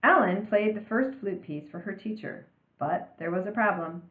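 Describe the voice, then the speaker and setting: A woman reading a children's story aloud in an expressive storytelling style. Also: mid-range voice, moderate pace, phone-quality sound. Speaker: reader of a children's novel aloud. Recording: roomy, band-limited home recording.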